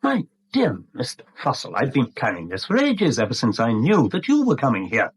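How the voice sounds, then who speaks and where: A man's voice: mid-range voice, huge pitch swings, moderate pace, slightly rough, exaggerated voice. A man acting a smug, breezy British confidence trickster in a 1970s radio drama, jaunty, sly and condescending. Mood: gleeful, scheming.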